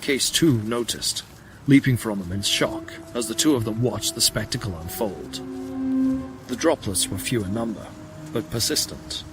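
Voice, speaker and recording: A man narrates a sci-fi audio drama in a fairly deep voice. The reading is scripted and dramatic, with loud music running underneath. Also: moderate pace. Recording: prominent music bed under the voice.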